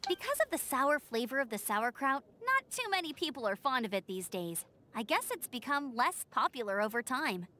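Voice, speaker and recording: A woman's voice: high-pitched, big pitch swings, normal speed, hardly any pauses, bright, forceful tone, slight background noise, heavily acted. A woman voicing a perky, friendly young adventurer in a video game, breezy and casual. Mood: chatty.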